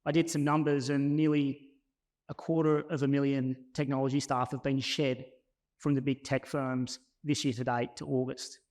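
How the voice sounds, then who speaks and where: A man's voice: medium pitch, flat delivery, normal speed, darkish tone. A man hosting an on-stage interview, putting questions in a conversational style. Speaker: interviewer, event host.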